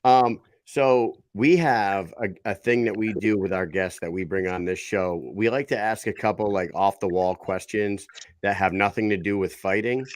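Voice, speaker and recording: Man, host, low voice, dry recording, chatty, rambling. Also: steady tone, moderate pace, no echo. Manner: chatty, rambling, self-correcting. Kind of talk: casual chat show.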